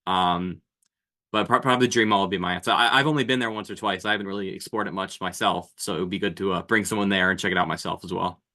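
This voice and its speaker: A man's voice: low-pitched, fairly even intonation, fast talker, sharp tone. A man in his twenties, a podcast guest chatting casually, hesitant with lots of fillers and restarts. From New Jersey. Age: 20s. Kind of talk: casual chat.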